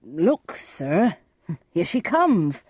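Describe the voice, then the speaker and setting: A woman's voice: on the low side, sing-song, drawn-out words, a little mellow, slightly rough, no room sound, heavily acted. A woman voicing a grave, troubled male friar with a hesitant manner in a dramatized storybook reading.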